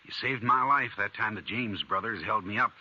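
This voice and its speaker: An actor in a 1950s radio western, playing an apologetic, nervous small-town banker who pleads and justifies himself. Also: male voice, fairly low pitch, moderate pace, bright, forceful tone. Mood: apologetic, pleading. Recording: dull old radio recording.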